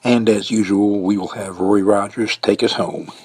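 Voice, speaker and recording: A man's voice: fairly low pitch, fairly even intonation, normal speed, a little mellow, slightly rough, no room sound. A man hosting a radio show, chatting informally and a little hesitantly, repeating himself. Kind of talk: casual unscripted sign-off chat.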